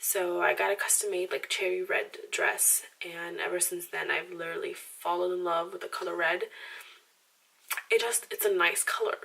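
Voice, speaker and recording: A woman's voice: fairly low pitch, gravelly, fairly bright tone, recorded from a distance, low-quality recording. A woman in her twenties with a slight Romanian accent, chatting to camera in a rambling, self-deprecating way full of "like" and restarts. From Romanian background. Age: 20s. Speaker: vlogger. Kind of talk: casual chatty monologue to camera.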